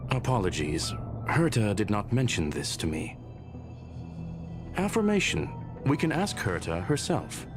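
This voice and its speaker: A man's voice: on the low side, very animated, unhurried, long pauses, music in the background. A man voicing a polite, literal-minded robot in a video game, with formal, courteous and clipped phrasing. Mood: apologetic, factual.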